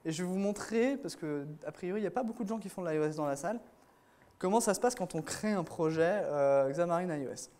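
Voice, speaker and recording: A man's voice: sits high, lively intonation, fast, dark tone. A man giving a casual technical talk to a room of developers at a conference, with many fillers and restarts. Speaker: conference speaker.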